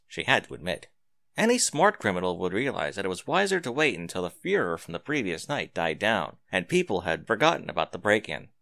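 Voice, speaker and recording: A man's voice: lowish voice, creaky, fairly bright tone, no echo. A man reading scripted fiction aloud as a dramatic narrator, close to the microphone.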